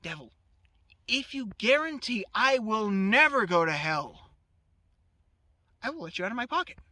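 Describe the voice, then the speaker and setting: A man's voice: high voice, big pitch swings, normal speed, sharp tone, dry recording, exaggerated voice. A man voicing a sly, scheming, persuasive trickster in a told folktale. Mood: bargaining.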